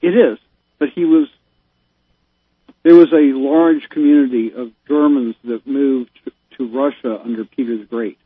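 A man's voice: medium pitch, steady tone, moderate pace, pauses often, husky, no echo. A man calling into a talk show, speaking in an exasperated, emphatic way. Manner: exasperated, emphatic, given to sighs. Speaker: caller. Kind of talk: casual phone chat. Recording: tinny phone line.

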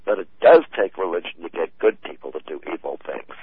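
A man whose first language is German talks in a low, husky voice, rambling through his points with lots of fillers and chuckles. The sound is thin and phone-like, completely dry. He chats casually as a guest on the show. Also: animated, brisk, no room sound. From United States. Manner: rambling, laughing, many fillers. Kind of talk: casual chat. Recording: tinny phone-line sound.

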